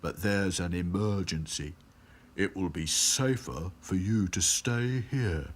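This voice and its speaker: A man's voice: sits low, slow pace. A man as a meek, apologetic rag doll. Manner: hesitant, apologetic.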